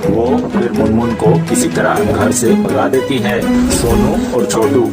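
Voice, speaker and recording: A man's voice: mid-range voice, steady tone, unhurried. A man narrating a scripted children's animal story. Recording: loud music behind the voice.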